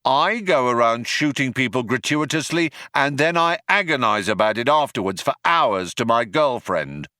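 A man voicing a male alien space cop in an audiobook, shouting threats in an earnest, aggrieved, self-justifying way. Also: mid-range voice, lively intonation, normal speed, hardly any pauses, no room sound, studio quality, pitched above the performer's own voice. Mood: aggrieved bluster.

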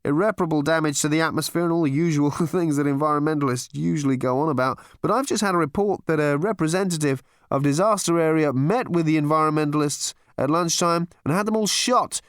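A man's voice: fairly high pitch, fast talker, few pauses, no echo, studio quality, voice pushed up, close to an everyday voice. A man voicing a breezy male concert reporter who chats cheerfully and laughs through his report. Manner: chirpy, chatty, laughing asides.

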